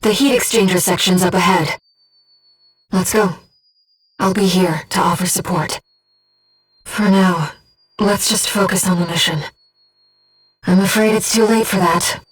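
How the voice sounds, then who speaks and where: An actress playing a gentle, devoted female voice in a pilot's head, a composed and precise mission guide in a video game. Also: on the low side, fairly even intonation, a little slow, long pauses, slightly rough, distant mic. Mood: composed, focused. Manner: composed, precise, earnest delivery. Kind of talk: video game voice acting, tactical briefing.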